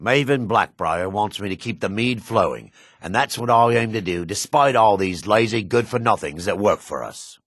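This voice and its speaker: Dark elf trader, husky, very low, sly, curt, greedy, video game fantasy, dry close sound. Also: male voice, moderate pace, few pauses, dry recording. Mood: smug, confiding. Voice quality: raspy. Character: dark elf man, shifty, greedy meadery boss and merchant.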